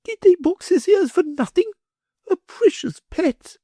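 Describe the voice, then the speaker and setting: A man reading aloud, voicing a shrill, doting, fussy noblewoman who shrieks when excited. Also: measured pace, no echo, studio quality.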